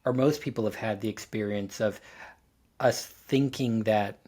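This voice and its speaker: A man's voice: on the low side, measured pace, warm tone. A man on a podcast panel talking casually and reflectively, thoughtfully working his way through phrases.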